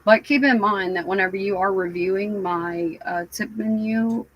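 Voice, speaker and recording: A woman live streamer chatting casually with her viewers, sounding stuffy and raspy, rambling with lots of fillers. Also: mid-range voice. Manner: slow, rambling, many fillers.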